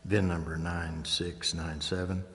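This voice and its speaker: Very deep-voiced council member. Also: male voice, little pitch movement, a bit bright. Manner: slow, careful, stumbling over wording. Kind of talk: formal meeting motion. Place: council chambers. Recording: long reverb in council chamber.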